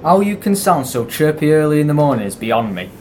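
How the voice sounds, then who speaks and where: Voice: medium pitch, very animated, fairly quick, a little mellow, close to an everyday voice. A performer playing a gossipy, grumbling male railway worker in an amateur audio drama. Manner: colloquial, conversational. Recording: faint background noise and ambience.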